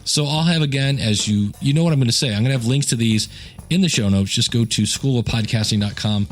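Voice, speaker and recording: A man's voice: medium pitch, quick pace, soft background music. A man hosting a podcast in a casual, chatty way, rambling into joking, self-deprecating asides. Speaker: podcast host and podcasting teacher. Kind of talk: casual solo podcast talk with plugs and announcements.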